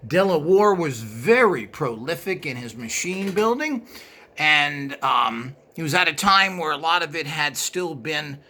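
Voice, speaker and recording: A man's voice: a little high, animated, a little slow, pushed and bright. A man presenting an informal show-and-tell in a hesitant, rambling way, recorded indoors behind a handheld camera.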